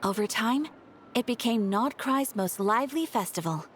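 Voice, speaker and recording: A woman playing a friendly townswoman in a video game, explaining things in an expressive way. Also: on the high side, normal speed, very noisy, close to an everyday voice. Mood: pleasant, explanatory.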